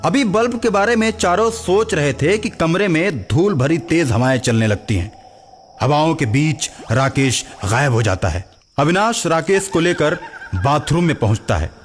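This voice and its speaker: A man's voice: fairly high pitch, lively intonation, moderate pace. Male story narrator over background music.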